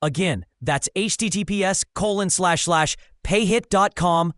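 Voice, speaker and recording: A man with a high voice reads a scripted promo at a brisk, selling pace. The sound is dry and close, with no room around it. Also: fairly bright tone, no echo. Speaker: announcer. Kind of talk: scripted ad read.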